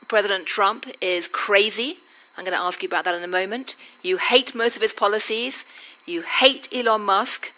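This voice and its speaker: A woman's voice: mid-range voice, bright, forceful tone, poor audio. A British woman interviewing a guest on stage before a live audience, with a witty, teasing manner. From Britain. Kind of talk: onstage conversation.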